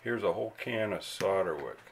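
A man's voice: low voice, animated, brisk, gravelly, a little hiss or hum. A man narrating a casual hands-on demonstration as a hobbyist, unscripted and laid-back. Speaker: radio hobbyist host. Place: indoor home radio workbench.

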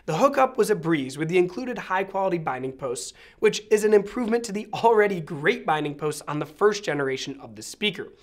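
A man's voice: high-pitched, big pitch swings, a little fast, few pauses. Polished American man presenting a product review. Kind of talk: scripted review narration to camera. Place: small indoor studio set.

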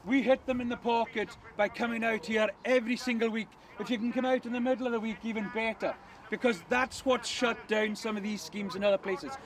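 A middle-aged man speaking to a protest crowd without a megaphone, projecting his big voice forcefully. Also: very high voice, fairly even intonation, fairly quick. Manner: loud unamplified address, forceful. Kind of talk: street protest speech. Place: outdoors by a hotel car park. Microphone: far camera, no megaphone. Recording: distant outdoor voice, crowd noise.

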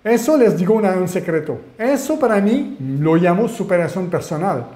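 French-Canadian male webinar host, animated, asks the room questions, roomy echo on a webcam recording. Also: high voice, normal speed. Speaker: online marketing mentor. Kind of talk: webinar presentation.

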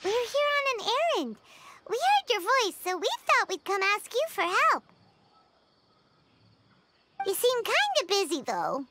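A woman voicing a tiny, chirpy, greedy fairy-girl companion in a game cutscene, with an excitable, squeaky delivery. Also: measured pace, pauses often, very noisy, heavily acted. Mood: cheerful. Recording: game soundtrack music behind.

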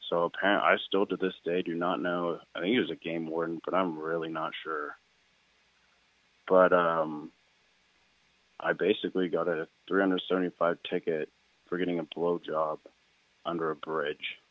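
A man's voice: deep voice, flat delivery, moderate pace, no room sound. An American man calling in over a tinny phone line, casually telling a personal story. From Washington state, United States. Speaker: caller. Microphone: phone call.

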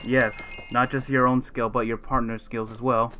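A man's voice: medium pitch, level tone, normal speed, background noise, natural voice. A man playing a patient, encouraging mentor who explains things a little formally in a gaming roleplay. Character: patient mentor to young supernatural fighters. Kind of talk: improvised roleplay chat while gaming. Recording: faint music behind the voice.

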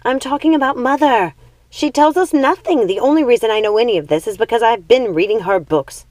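A woman's voice: a little high, animated, a little fast, a little mellow, dry recording, voice pushed up, lightly acted. A woman voicing a restless, frustrated young witch daughter in a storybook, earnest and insistent. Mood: exasperated.

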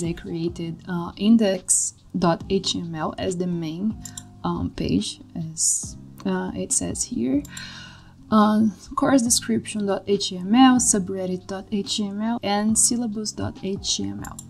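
Slow relaxed woman, Brazilian accent. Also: mid-range voice, gravelly. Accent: Brazilian Portuguese. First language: Portuguese. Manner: relaxed, halting, many fillers. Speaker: coding tutor. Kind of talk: screen-share coding walkthrough. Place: indoor room.

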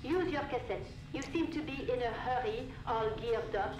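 A woman's voice: a little high, moderate pace, lightly acted. An actress playing a frank, pragmatic woman doctor who is direct and questioning, in a video game audio log. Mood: curt, suspicious. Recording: roomy, noisy cassette tape recording.

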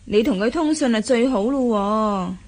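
An actress playing a gentle, reassuring and teasing confidante in a radio drama. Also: female voice, on the high side, measured pace. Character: reassuring, teasing female confidante of a young girl. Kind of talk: Cantonese radio drama acting.

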